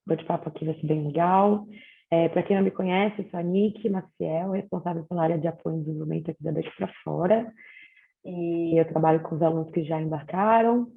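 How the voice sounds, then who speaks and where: A woman's voice: fairly low pitch, gravelly. Woman host on a tinny call line. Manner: measured, hesitant fillers. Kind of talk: webinar interview. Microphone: video call. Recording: tinny phone-like video call.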